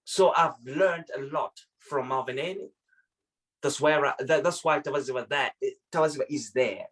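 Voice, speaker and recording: Man from zimbabwe, slightly high voice, halting and reflective, repeats words, video call. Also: fairly bright tone, poor audio. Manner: reflective, repeats words while searching for them. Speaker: panel participant. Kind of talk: casual discussion on a video call.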